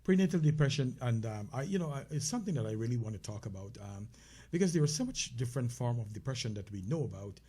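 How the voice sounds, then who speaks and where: A man's voice: mid-range voice, lively intonation, fast, warm tone, slightly rough. A man with a Caribbean accent co-hosting a casual health talk show, speaking conversationally with many fillers and restarts. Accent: Caribbean English.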